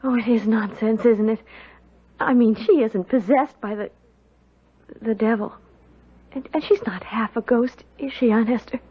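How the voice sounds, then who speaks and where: A woman's voice: fairly high pitch, fairly even intonation, measured pace, long pauses, slightly rough. An actress playing a timid, polite young serving girl in a 1970s radio drama, hesitant and deferential. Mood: timid, nervous. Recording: dull old radio broadcast, music behind.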